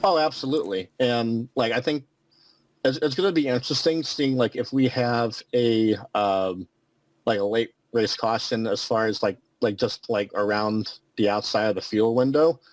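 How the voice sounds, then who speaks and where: A man giving casual race analysis as a guest, halting with many fillers. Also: medium pitch, no room sound, rough recording. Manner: halting, lots of likes and ums. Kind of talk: casual race preview talk.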